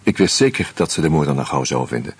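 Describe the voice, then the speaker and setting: An actor playing a wry, uneasy screenwriter who narrates a radio play in a measured, reflective way with hesitant fillers. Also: male voice, very deep voice, lively intonation, slightly rough, heavily acted. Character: wry, uneasy male screenwriter caught up in murders.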